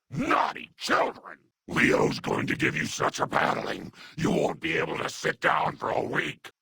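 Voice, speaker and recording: A man voicing a doting, scolding, unhinged elderly mutant grandmother in a video game, with a gravelly, husky, breathy voice and cooing pet names. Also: on the high side, sharp tone, dry recording, full character voice. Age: older. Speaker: video game companion character. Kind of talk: video game character acting.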